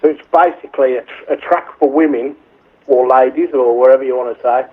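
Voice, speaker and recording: A man's voice: mid-range voice, fairly quick, slightly rough. A man, a songwriter, explaining his song over the phone in a loose, rambling way, with restarts and fillers. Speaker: songwriter being interviewed. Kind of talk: casual chat over the phone. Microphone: telephone. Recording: tinny phone line.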